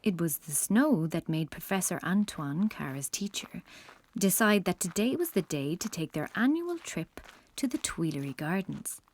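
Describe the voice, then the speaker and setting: Lower-pitched woman narrating a children's story. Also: big pitch swings, normal speed, darkish tone.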